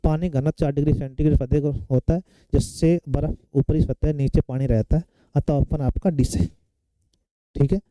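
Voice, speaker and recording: A man's voice: fairly high pitch, fairly even intonation, moderate pace, warm tone, no room sound, low-quality recording. A man giving an exam-prep science lecture, explaining step by step and often checking in with short tag questions. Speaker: science teacher for competitive exam students. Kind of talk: classroom lecture working through exam questions.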